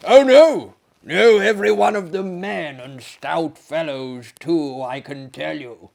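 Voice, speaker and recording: A man playing a blustering, excitable asylum director. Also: on the high side, slow, gravelly. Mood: emphatic. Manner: blustering, emphatic, theatrical. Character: genial, excitable French asylum director, easily indignant host.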